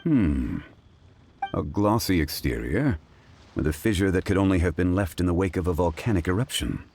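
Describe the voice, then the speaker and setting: A man voicing a composed, modest, erudite gentleman in a video game, formal, measured and courteous. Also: low voice, lively intonation, creaky, full character voice. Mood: thoughtful, assured. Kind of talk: video game dialogue acting.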